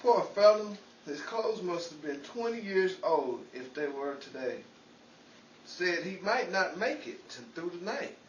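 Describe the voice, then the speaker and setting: A man's voice: high voice, animated, measured pace, dull audio. A man playing a well-meaning, scatterbrained schemer who is forever in trouble, in an old-time 1940s radio comedy style. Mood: rueful storytelling. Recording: echoey, distant, noisy room recording.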